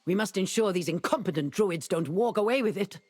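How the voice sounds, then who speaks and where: An actor playing a grave, secretive guardian of ancient magic in a fantasy game, with a husky, solemn and measured delivery. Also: male voice, high-pitched, expressive. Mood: stern, urgent. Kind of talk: dramatic fantasy game acting.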